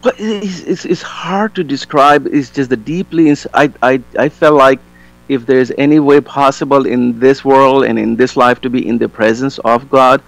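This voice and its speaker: A man who is a physician, speaking softly and hesitantly as a guest in a debate. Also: mid-range voice, moderate pace, creaky, low-quality recording. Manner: soft-spoken, hesitant, reflective. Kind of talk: debate discussion.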